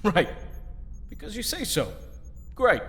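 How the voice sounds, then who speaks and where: An actor playing a hot-headed young superhero team leader in an audio drama, combative and sarcastic. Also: male voice, high voice, wildly swooping pitch, slow pace, a bit bright, big-room sound, exaggerated voice.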